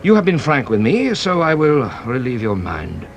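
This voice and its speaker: An actor playing a shrewd, playful French police inspector in a British radio drama, with a French accent and a teasing, theatrical delivery. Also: male voice, medium pitch, huge pitch swings, moderate pace, gravelly, faint music behind. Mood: brisk, probing.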